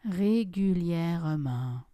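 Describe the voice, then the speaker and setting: A woman guides a deep relaxation session in a mellow, soothing tone. She speaks extremely slowly and softly, leaving long silences between instructions. The sound is dry and close, with no room reflections. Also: no echo. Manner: calm, soft instructions with long pauses. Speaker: yoga nidra guide.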